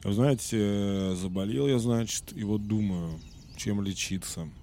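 A man with a low, dark, mellow voice hosts his own podcast while a little under the weather, clearing his throat now and then. He tells his story loosely, full of fillers and self-corrections. Faint background noise sits under his voice. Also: a little slow. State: slightly sick, occasional throat clearing. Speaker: podcast host. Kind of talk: casual storytelling monologue.

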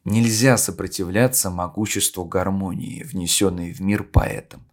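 A man playing a solemn poet, reciting a grave philosophical oration in a measured, declamatory way with emphatic pauses. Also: low-pitched, close to an everyday voice. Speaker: reader of a poet's speech. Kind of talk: dramatic literary recitation in an audio play. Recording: music under the voice. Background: music.

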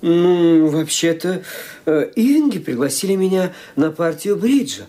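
Dutiful young nephew, radio drama; mellow tone, polite and hesitant. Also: male voice, a little high, lively intonation, unhurried. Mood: hesitant, obliging. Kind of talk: radio play acting.

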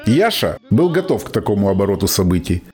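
A man narrating a scripted radio play in a dramatic storytelling manner, with deliberate pauses. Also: on the low side, very animated, mellow. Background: music.

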